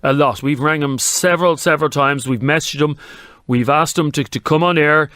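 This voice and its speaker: A man's voice: fairly high pitch, normal speed, a bit bright, smooth, no room sound, studio quality. An Irish man hosting a talk radio show, pressing his points emphatically and firing questions at callers. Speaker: radio host. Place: radio studio. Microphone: studio mic.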